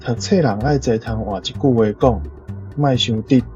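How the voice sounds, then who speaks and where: A man's voice: medium pitch, moderate pace, darkish tone. A man with a Taiwanese accent, a language teacher reading out translations. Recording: dull lo-fi recording. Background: faint music.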